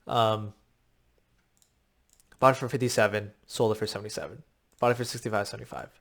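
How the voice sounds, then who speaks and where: A man with a slightly raspy, worn voice, coaching viewers on a crypto game in a rambling, filler-heavy pitch, a little short of breath. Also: steady tone, fairly quick, fairly bright tone. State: short of breath from long talking. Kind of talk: casual talking-head explainer.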